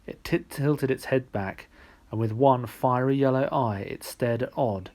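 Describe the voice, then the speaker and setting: A man reads a storybook aloud. His voice sits at a middle male pitch. The narration is scripted and expressive. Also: measured pace. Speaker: storybook reader.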